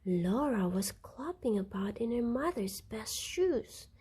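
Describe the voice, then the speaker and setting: Husky, slow-paced woman reading a storybook. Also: on the high side, very animated, dark tone. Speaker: storybook reader. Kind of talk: expressive children's story narration.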